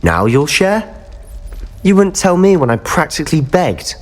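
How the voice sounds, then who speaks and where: An actor playing a cocky, impatient teenage wizard schoolboy in a video game, with a brisk, wry delivery. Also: male voice, fairly high pitch, big pitch swings. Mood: wry, teasing. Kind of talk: dramatic video game voice acting. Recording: background music under voice.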